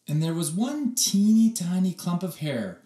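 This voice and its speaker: A man narrates a picture book in a slow, deliberate way. His pitch is very animated, with theatrical emphasis and pauses, and the room adds a clear echo. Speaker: read-aloud storyteller, teacher. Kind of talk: expressive children's storybook narration.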